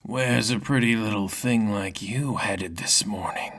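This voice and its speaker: A man's voice: fairly low pitch, unhurried, fairly bright tone. A man voicing a smug, predatory street thug in a fantasy story, oily and mock-friendly. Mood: leering.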